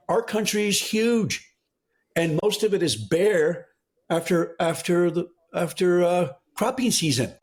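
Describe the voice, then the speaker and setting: A man's voice: fairly high pitch, darkish tone. An American man from New Mexico speaking as a podcast guest, blunt, exasperated and emphatic in an impassioned discussion. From New Mexico, United States. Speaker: guest soil health advocate.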